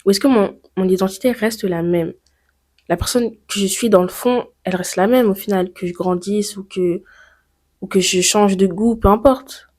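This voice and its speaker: A woman's voice: medium pitch, normal speed, darkish tone. A teenage girl from France, talking to her camera in a personal vlog and thinking aloud with many fillers and rhetorical questions. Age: teens. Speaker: YouTuber.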